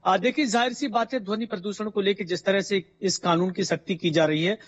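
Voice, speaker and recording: A man with an Indian accent giving an unscripted live news report as a field reporter, often pausing on filler sounds. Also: high-pitched, steady tone. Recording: dull lo-fi live link audio.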